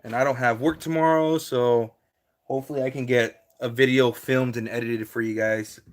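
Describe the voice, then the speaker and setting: A man's voice: mid-range voice, steady tone, moderate pace. A man, hosting a casual live stream and answering his viewers' chat in a laid-back way, with lots of fillers.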